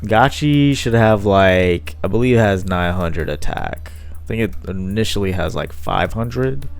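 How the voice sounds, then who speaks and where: Low smooth-voiced man commentating a card match. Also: lively intonation, a little slow, faint music behind. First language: not Italian. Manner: casual, thinking aloud with fillers.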